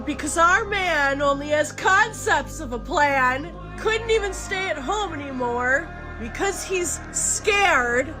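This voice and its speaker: An outraged woman from the United States rants sarcastically in a social media clip. Her voice is very high and swoops around widely, her words come slowly and deliberately, and music plays loudly underneath. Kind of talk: social media rant video.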